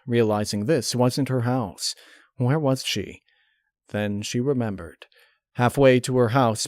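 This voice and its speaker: A man reading a dark horror story aloud as a scripted narrator, close on the mic. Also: mid-range voice, no echo.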